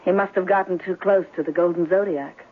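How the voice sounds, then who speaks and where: A woman's voice: on the low side, steady tone, fairly quick, close to an everyday voice. An actress playing an alluring, evasive female client in a 1970s detective radio drama. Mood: coy. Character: alluring, evasive female client in trouble, noir femme fatale. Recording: muffled old radio broadcast.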